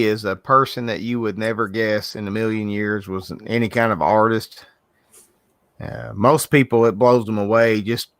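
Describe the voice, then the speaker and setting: A man's voice: lowish voice, fairly bright tone, clear, resonant tone, dry recording. Southern male host, casual rambling delivery, dry laptop-call audio. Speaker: host of an art livestream. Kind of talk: casual chat. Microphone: video call from a laptop.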